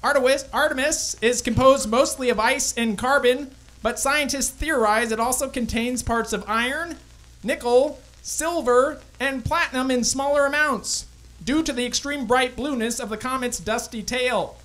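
Woman playing a high-pitched old-time radio newsman. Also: normal speed, fairly bright tone, low-quality recording. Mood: formal, measured. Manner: formal, declamatory. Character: older male 1920s radio newsreader, formal and earnest.

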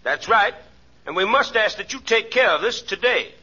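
An actor playing a brisk, officious assistant bank manager in a 1940s radio comedy, sharp and husky. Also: male voice, high-pitched, animated, dull audio, full character voice. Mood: stern, formal.

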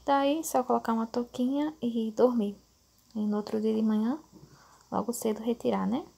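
A woman in her thirties gives a relaxed at-home hair tutorial. Her voice is dark and husky, with a gravelly edge at the ends of phrases. She keeps turning to her viewers and checking that they follow. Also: fairly high pitch, normal speed. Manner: chatty, addresses viewers as "gente", many fillers like "né". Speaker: beauty vlogger. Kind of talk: casual hair-care tutorial. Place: small bedroom at home, indoors.

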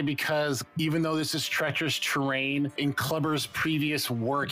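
A man chats as a player in a tabletop roleplaying game. He has a mid-pitched voice and an easygoing, think-aloud manner, with quiet music in the background. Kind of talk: casual game chat.

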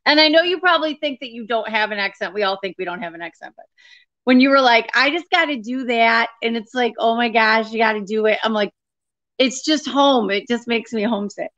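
A woman's voice: fairly high pitch, a bit bright. Chatty Midwestern woman on a video call. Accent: Midwestern American. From Michigan, Detroit. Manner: chatty, quick joking asides. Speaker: guest, comedian. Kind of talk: casual chat. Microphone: video call laptop mic. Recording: dull video call audio.

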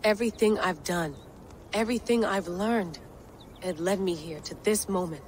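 A woman's voice: fairly low pitch, moderate pace, natural voice. A determined young agent, voiced by a woman, intense and focused. Faint ambient noise sits behind her. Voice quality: intense, driven.

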